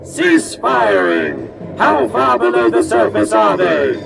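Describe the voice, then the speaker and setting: Man acting a gravelly alien warship commander. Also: sits high, wildly swooping pitch, slow, exaggerated voice. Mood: impatient, commanding. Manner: imperious, formal orders. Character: commanding alien battle-cruiser commander, patient and ruthless. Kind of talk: science fiction audio drama acting. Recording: distant voice under loud music.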